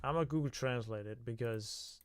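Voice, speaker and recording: A man's voice: medium pitch, fairly quick, clean tone. A young man hosting a casual livestream, talking in a loose, hesitant way full of filler sounds. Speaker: livestream host.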